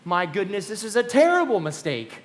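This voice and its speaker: A man acting in a live radio play as a wealthy, lovestruck young gentleman who is anxious and boastful, speaking in a genteel theatrical way. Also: high-pitched, very animated, brisk.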